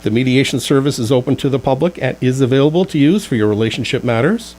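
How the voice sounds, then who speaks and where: A man's voice: medium pitch, fast talker, a little mellow. A man hosting a radio talk show from a studio, reading out contact details in an announcer-like way before talking with guests.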